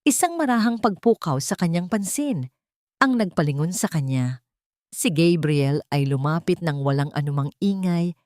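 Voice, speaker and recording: Low-pitched woman narrating a dramatic story. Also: a little mellow, no echo, studio quality.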